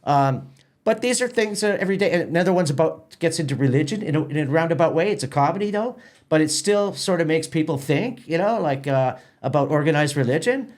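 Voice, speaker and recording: A man with a Canadian accent chatting casually as an interview guest, explaining things in a halting, rambling way with repeated words. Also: fairly high pitch, lively intonation, darkish tone. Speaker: festival organiser and stage manager, podcast guest.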